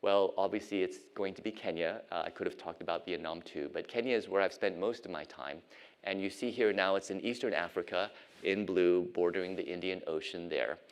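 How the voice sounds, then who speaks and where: Middle-aged man, deep voice, unhurried academic speaker from Ohio. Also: steady tone, clean tone. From United States (Ohio). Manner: measured, frequent ums and uhs. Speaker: guest lecturer, physician and professor. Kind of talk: academic lecture.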